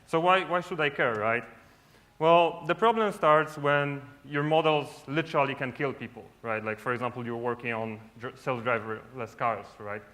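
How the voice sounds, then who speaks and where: A man's voice: mid-range voice, normal speed, sharp tone. A man from Poland giving a casual conference talk on stage, often tagging sentences with "right?". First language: Polish. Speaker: conference speaker. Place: large lit stage.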